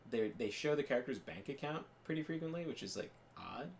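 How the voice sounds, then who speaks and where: A man's voice: mid-range voice, expressive, fairly quick, vocal fry, a little hiss or hum. A young man chatting casually as a podcast co-host, rambling with lots of fillers and restarts. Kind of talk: casual chat while gaming.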